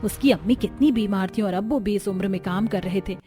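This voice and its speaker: A woman voicing a kind, wealthy middle-aged mother-in-law in a cartoon story, speaking gently and with assurance. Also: medium pitch, fairly quick, soft background music, lightly acted. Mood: pitying.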